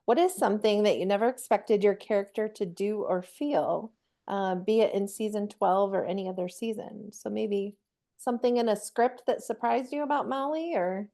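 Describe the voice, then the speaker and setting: A woman's voice: mid-range voice, moderate pace, mellow. A woman hosting a casual podcast interview, asking questions and supportively affirming what she hears.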